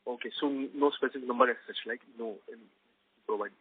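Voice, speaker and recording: A man's voice: mid-range voice, fairly even intonation, fast talker, dry recording. A man asking questions as an analyst on an earnings call. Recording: thin, dry phone line.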